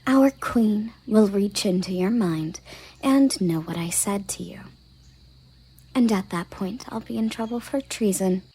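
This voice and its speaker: Woman playing a husky, slow-talking elven guard captain. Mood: guarded, troubled. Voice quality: husky, breathy, soft low voice. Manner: curt, condescending, commanding. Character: stern, haughty elven royal guard captain, muscular woman. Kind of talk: audio roleplay addressed to the listener.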